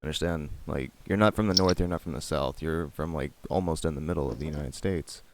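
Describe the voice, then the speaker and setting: A man's voice: a bass voice, fairly quick, a little hiss or hum. A man co-hosting a podcast, explaining things patiently in casual chat, often repeating his words. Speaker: podcast co-host.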